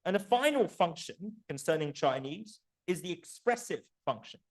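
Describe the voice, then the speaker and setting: A man's voice: sits high, animated, normal speed. A man presenting an academic book talk in an articulate, erudite manner, posing rhetorical questions and often checking in with the listeners.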